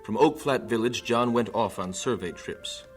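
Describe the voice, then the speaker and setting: A man's voice: on the low side, normal speed. A man with an American accent giving scripted narration in the style of an old radio drama. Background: music.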